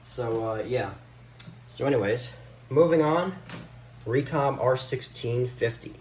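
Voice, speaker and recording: A man talking casually off the cuff in a halting, offhand way, showing items from a collection. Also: mid-range voice, darkish tone. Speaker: vlogger showing a radio collection. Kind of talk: casual unscripted product show-and-tell. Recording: echoey room, background noise.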